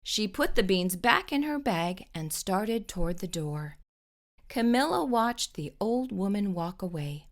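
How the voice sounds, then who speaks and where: A woman narrating a children's storybook expressively, with deliberate, dramatic pauses. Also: mid-range voice, lively intonation. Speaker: children's storytime host reading aloud.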